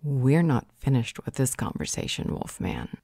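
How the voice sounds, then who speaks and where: A woman plays a strong-willed female vampire in an audio roleplay. Her voice is low and mellow, recorded close and dry, with a measured, thoughtful delivery that has a dry edge of authority. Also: lively intonation, vocal fry, husky, no echo, exaggerated voice. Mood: firm, wry. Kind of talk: roleplay audio drama acting.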